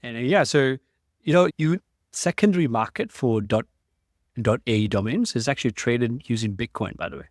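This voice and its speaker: A man in his late thirties from Sri Lanka, a tech founder telling stories as an interview guest, halting with many restarts. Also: mid-range voice, expressive, a little mellow, no echo, clean studio sound. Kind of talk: casual interview storytelling.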